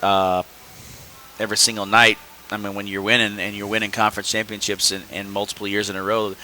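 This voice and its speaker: A man coaching high school basketball talks through his team's season in a slow, halting way, full of fillers and restarts. His voice sits a little low but sounds bright and forceful. A faint hiss runs under the talk. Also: little pitch movement, rough recording. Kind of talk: casual podcast chat.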